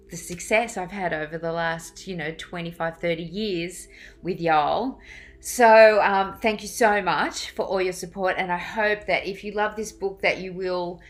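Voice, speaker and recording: A middle-aged woman with a fairly low voice talks casually to camera, like an author addressing loyal readers. Her delivery is informal and effusive, and she rambles loosely from one thought to the next. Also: slight background noise.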